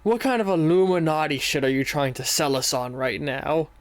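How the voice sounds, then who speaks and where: A blunt, skeptical man scoffs at what he is told, as a man voices him. His tone is incredulous and mocking. The voice sits higher than usual, and the recording is dry. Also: lively intonation, normal speed, dry recording, lightly acted.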